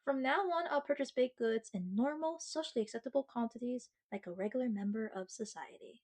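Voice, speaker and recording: A woman's voice: fairly high pitch, a little mellow, natural voice. A woman acting a sweet, sheepish girlfriend in an ASMR roleplay, chatting earnestly and bashfully to the listener with playful self-mockery. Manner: chatty, halting, bashful with dramatic mock seriousness.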